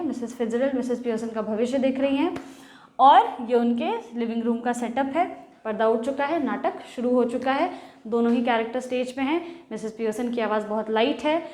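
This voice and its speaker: A woman's voice: on the high side, a little mellow. A woman teacher giving a lesson in Hindi, mixing in English words as she explains. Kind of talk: bilingual classroom lecture. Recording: echoey room.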